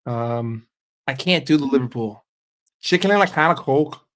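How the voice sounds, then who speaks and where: A man's voice: medium pitch, lively intonation, moderate pace. A young man streaming, chatting casually with his viewers, joking and swearing freely. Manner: profane, joking, reacting to chat. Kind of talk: casual livestream chat.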